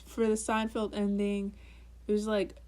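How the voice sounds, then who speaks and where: A woman co-hosting a podcast, chatting casually in an unhurried, teasing way with lots of fillers. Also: medium pitch, completely flat delivery, mellow. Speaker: podcast co-host. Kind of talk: casual chat.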